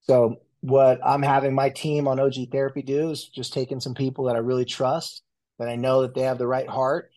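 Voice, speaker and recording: A man's voice: mid-range voice, little pitch movement, fairly quick, darkish tone. A man in his forties, a therapist, talking loosely in a casual interview with many fillers and restarts. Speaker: guest, family and marriage therapist.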